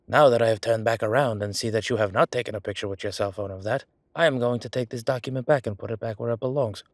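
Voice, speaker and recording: A man's voice: on the low side, no room sound, close to an everyday voice. A man playing a chatty, sardonic records clerk who rambles wryly in a roleplay audio drama. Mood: theatrically innocent. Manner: rambling, wry, exaggerated feigned innocence.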